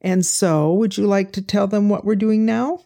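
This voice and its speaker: Gravelly, mellow-voiced older woman. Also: medium pitch, steady tone, normal speed. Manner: storytelling, acts out quoted people. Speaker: guest, pie sale volunteer. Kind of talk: casual interview chat.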